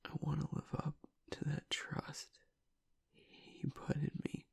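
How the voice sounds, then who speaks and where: A man playing a gruff young werewolf pack alpha in an intimate audio roleplay, halting and tearful, his voice breathy and gravelly as he grieves and doubts himself. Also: completely flat delivery, darkish tone, whispered, exaggerated voice. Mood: anguished, self-doubting. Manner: halting, low-voiced, choked with emotion. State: tearful, on the edge of crying.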